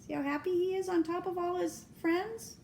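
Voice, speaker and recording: A woman reading a children's storybook aloud as a grandmother, expressively, pausing to ask the young listener simple questions. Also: very high-pitched, fairly even intonation, vocal fry, a little mellow, slight background noise.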